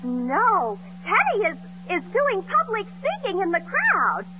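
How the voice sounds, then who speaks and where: A very high, wildly swooping female voice on a dull, noisy old radio broadcast. She is a guileless comic sidekick, eager and earnest, asking simple questions in 1940s radio comedy style. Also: huge pitch swings, slow pace, pushed and bright, faint music behind, heavily acted.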